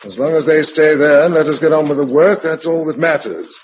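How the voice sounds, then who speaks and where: An actor playing a practical, dryly humorous husband who keeps a journal after the apocalypse, in British radio drama style with measured, reflective delivery. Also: male voice, a little high, slight background noise, natural voice. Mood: practical, brisk. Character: practical, wry husband keeping a survival journal in a post-apocalyptic world. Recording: dull, lo-fi radio drama recording.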